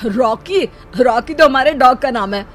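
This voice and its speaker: A woman's voice: high-pitched, animated, measured pace, faint music behind. A woman voicing a quarrelsome, sarcastic older grandfather in a cartoon. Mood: mocking objection. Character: argumentative, mocking older grandfather, sarcastic in-law.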